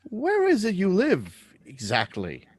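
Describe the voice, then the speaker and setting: A man plays a schoolboy in a radio drama. His mid-pitched voice moves in lively swings and sounds dry and close. He is earnest, curious and courteous, with a persistent questioning streak. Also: a little slow, no room sound, full character voice. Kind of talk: live script reading of a radio drama.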